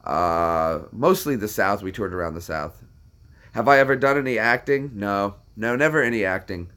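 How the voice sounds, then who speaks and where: A man's voice: fairly low pitch, animated, normal speed, fairly bright tone. A young American man chatting casually to viewers, answering questions in a rambling, self-deprecating way with lots of fillers. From United States. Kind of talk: casual chat.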